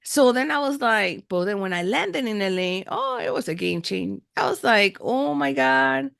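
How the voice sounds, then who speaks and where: A woman's voice: on the high side, expressive, normal speed, gravelly, fairly bright tone, smooth, no echo, clean studio sound. A woman hosting a casual podcast interview, switching between Spanish and English and repeating words effusively. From United States, Miami. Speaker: podcast host. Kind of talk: casual bilingual interview chat.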